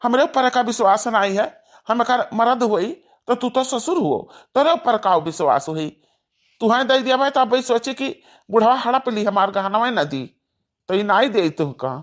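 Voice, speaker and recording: A woman's voice: on the high side, animated, normal speed, natural voice. A woman voicing a scolding, hot-tempered villager in a cartoon family quarrel, with an Awadhi accent and combative, repetitive delivery. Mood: angry. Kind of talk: cartoon family drama acting.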